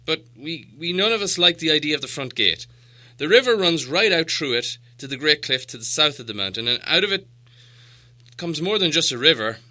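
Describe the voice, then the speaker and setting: A man's voice: a little high, animated, brisk, sharp tone, pitched above the performer's own voice. A man voicing a proud, grumbling dwarf leader in a storybook, weighty and sceptical in manner. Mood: earnest.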